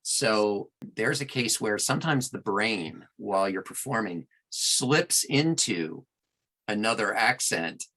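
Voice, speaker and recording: A man giving deliberate, explanatory answers as a narrator being interviewed in a conversational style. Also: on the low side, lively intonation, sharp tone, low-quality recording. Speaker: audiobook narrator and producer, podcast guest.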